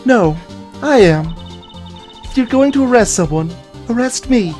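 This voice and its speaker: A woman acts an earnest female courtroom game character. Her voice sits a little high. Music plays behind her. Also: animated, unhurried, darkish tone. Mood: pleading, brave.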